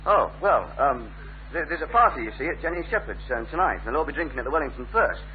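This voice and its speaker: Shy lad, muffled old recording. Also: male voice, fairly high pitch, big pitch swings, quick pace. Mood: awkward, earnest. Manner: hesitant, stammering when pressed. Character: shy, awkward, reluctant young northern lad. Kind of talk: 1970s British radio sitcom acting. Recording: muffled phone-like old radio recording, faint hum.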